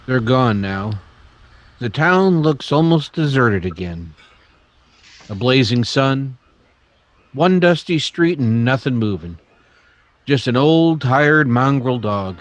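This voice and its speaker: A man acting a grave, indignant Old West townsman in an old-time radio western, speaking earnestly and with deliberate emphasis. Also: mid-range voice, lo-fi sound. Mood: weary, somber.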